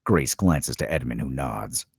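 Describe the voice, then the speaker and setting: A man reads screenplay directions as a dramatic narrator. His voice is very deep and husky, swinging widely in pitch, in a dry recording. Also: lively intonation, a little slow, no room sound.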